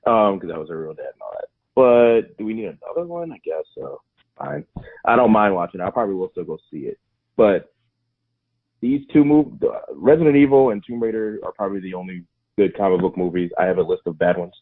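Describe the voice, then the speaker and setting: Male podcast co-host, low and dry, heard over a tinny line. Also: lively intonation, a little fast. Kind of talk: casual podcast chat.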